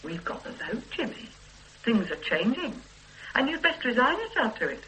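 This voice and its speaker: A fed-up, determined wife. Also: female voice, a little high, big pitch swings, normal speed, fairly bright tone, rough recording. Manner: firm and resentful. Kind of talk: radio drama acting.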